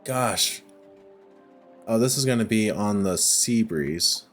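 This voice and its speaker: A man giving casual gameplay commentary, thinking out loud in short, halting phrases. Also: fairly low pitch, animated. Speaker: let's play host. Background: music.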